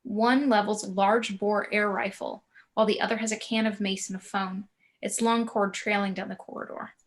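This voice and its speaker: Mid-pitched woman narrating a screenplay. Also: little pitch movement, moderate pace. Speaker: narrator reading action description. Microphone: video call.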